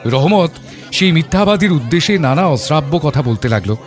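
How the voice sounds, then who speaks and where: A man narrating an audio story as a thoughtful father, in a measured, literary storytelling manner. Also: a little high, animated, faint music behind, close to an everyday voice. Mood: reflective. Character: thoughtful middle-class father telling his story.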